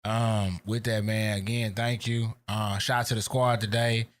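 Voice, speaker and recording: A man hosting a casual podcast chat, talking in a relaxed way with slang and many fillers. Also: fairly low pitch, unhurried. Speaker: podcast host.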